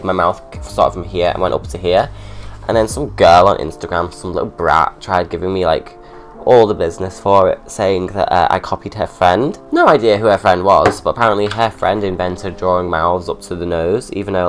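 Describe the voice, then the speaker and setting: A young man with a stuffy cold, chatting sarcastically through a drag makeup tutorial at home. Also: sits low. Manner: chatty, sarcastic, ranting asides.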